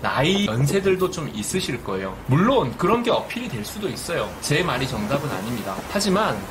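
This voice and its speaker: A man's voice: a little high, very animated, fairly quick, background noise. A middle-aged man from Busan with a light Busan accent, giving direct, earnest business advice in a vlog. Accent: light Busan dialect. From Busan, South Korea. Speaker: business YouTuber.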